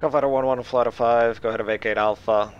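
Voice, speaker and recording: A man acting as air traffic controller in a military flight game, giving clipped, halting, procedural radio calls. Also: medium pitch, fairly even intonation, dull audio.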